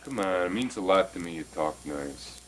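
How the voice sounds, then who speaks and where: An actor playing an earnest man in his forties, coaxing and animated as he talks. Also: deep voice, normal speed, slight background noise. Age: 40s.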